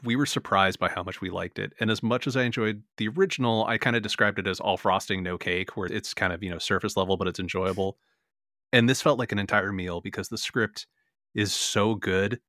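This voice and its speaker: A man's voice: on the low side, bright, forceful tone, no room sound, studio quality. A man co-hosting a podcast, chatting casually and enthusiastically, with lots of filler words. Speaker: podcast co-host. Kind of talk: casual chat.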